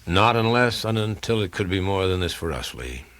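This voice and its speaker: A man reading a crime audiobook as a world-weary, blunt male investigator who tells his case in a terse, dry, deliberate way. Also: sits low, very animated, a bit bright. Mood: guarded, measured. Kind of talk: dramatic first-person audiobook reading of hardboiled crime fiction.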